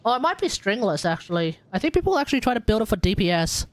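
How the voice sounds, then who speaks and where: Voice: mid-range voice, sing-song, quick pace, dry recording. A live streamer giving casual gaming commentary, thinking aloud and talking to the chat.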